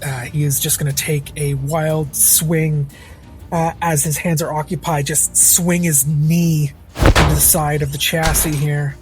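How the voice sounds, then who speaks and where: Halting male tabletop player over music. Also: a little high, flat delivery. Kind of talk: describing character actions in third person. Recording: background music under the voice.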